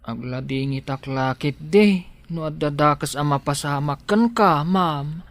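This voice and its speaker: A man's voice: on the high side, a little slow. A man with an Ilocano accent playing a loyal, protective household helper in an audio drama, speaking deferentially to his employer. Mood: tender, worried.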